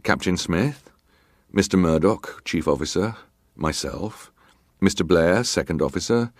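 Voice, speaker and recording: Deep-voiced, clipped ship's officer. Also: male voice, expressive, a little slow, no room sound, clean studio sound. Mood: precise, formal. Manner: clipped, formal, matter-of-fact. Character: British ship's officer testifying, terse, guarded, formally respectful. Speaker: actor reading a witness's testimony. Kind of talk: dramatised reading of hearing testimony.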